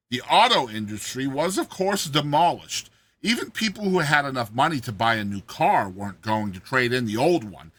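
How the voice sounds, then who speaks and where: A man reads a classic story aloud as a dramatic narrator. His voice sits a little high and swings widely in pitch, with a bright, forceful edge. The tone is rough rather than smooth, and the delivery is expressive and theatrical. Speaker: story narrator, show host. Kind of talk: dramatized audiobook reading of a classic satirical story.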